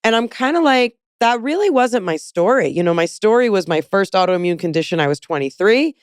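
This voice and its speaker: A woman's voice: medium pitch, expressive, fairly quick, smooth, no echo, studio quality. A woman in her forties hosting an interview, talking candidly and rambling with many fillers. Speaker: podcast host. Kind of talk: casual interview chat.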